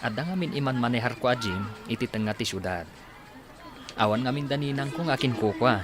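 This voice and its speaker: A man's voice: mid-range voice, lively intonation, a little fast, crowd noise, lightly acted. A man playing a cheerful, boastful young man in a radio drama, with a Filipino accent. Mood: jaunty.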